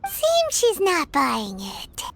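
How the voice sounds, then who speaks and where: A woman voicing a tiny, excitable childlike fairy girl in a game, with a squeaky, cutesy delivery. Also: drawn-out words, creaky, a little hiss or hum, exaggerated voice. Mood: chirpy. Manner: cutesy, refers to self in third person. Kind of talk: scripted game dialogue acting.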